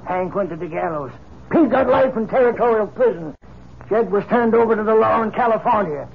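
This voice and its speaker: Creaky, high-pitched old outlaw. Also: male voice, animated, a little fast. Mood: storytelling. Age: older. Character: old ex-outlaw out of prison, folksy, dogged. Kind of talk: 1950s radio western acting. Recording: dull, noisy 1950s radio recording.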